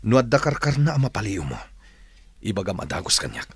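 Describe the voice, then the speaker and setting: A man's voice: lowish voice, big pitch swings, fairly quick, husky, no echo, heavily acted. A man playing a young gang boss in a radio drama, firm but lenient with those under him. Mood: firm, easygoing.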